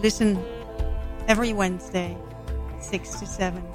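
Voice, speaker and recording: A woman with a mid-pitched voice reads a station promo in an even, measured tone. Sitar music plays clearly underneath her. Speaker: promo announcer. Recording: announcement over sitar music.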